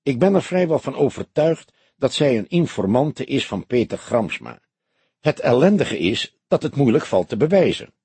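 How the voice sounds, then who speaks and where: A man voicing an older male police detective in an audiobook, calm, sceptical and deliberate, with measured, formal phrasing. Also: mid-range voice, husky, no room sound, voice pushed up, exaggerated voice. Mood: resigned. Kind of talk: audiobook character dialogue.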